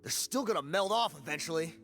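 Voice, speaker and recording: High-pitched, indignant male crook. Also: lively intonation, fairly quick, fairly bright tone, soft background music. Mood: bitter. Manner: accusing, sarcastic, indignant. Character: aggrieved, hot-tempered male criminal ex-partner. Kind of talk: scripted video game voice acting.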